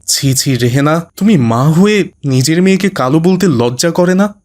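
A man's voice: on the high side, expressive, normal speed, a little mellow, close to an everyday voice. A man voicing a caring, troubled working father in a cartoon, speaking earnestly with some reproach. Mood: reproachful. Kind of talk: animated moral cartoon voice acting.

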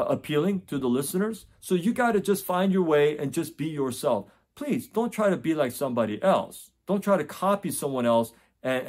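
A man's voice: fairly high pitch, a little mellow. A man in his forties from the United States, giving earnest advice straight to camera from a home office, often restarting phrases and checking in with "okay?". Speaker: test prep tutor. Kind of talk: direct-to-camera advice talk. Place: home office with framed certificates.

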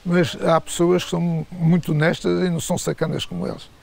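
Older man, high voice, gravelly texture, hesitant interview answers. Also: flat delivery, brisk. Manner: hesitant, many restarts and repeated words.

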